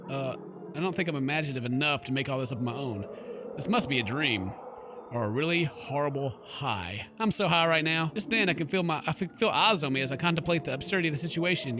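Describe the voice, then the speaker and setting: A young man hosting a gameplay video, reading story text aloud theatrically and throwing in jokey asides. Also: a little high. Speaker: gaming video host. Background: game music.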